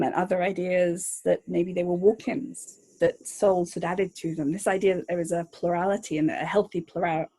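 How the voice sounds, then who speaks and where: A woman journalist answering interview questions, thoughtful and hesitant, with frequent pauses and restarts. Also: on the low side, mellow, slightly rough, low-quality recording. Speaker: journalist guest.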